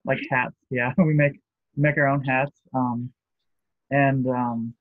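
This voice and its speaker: A young man speaking as a guest on a video call, easygoing and quick to laugh. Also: medium pitch, level tone, no echo. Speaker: guest, shop co-owner. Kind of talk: casual video call interview. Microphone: shared video call. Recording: tinny phone-like call audio.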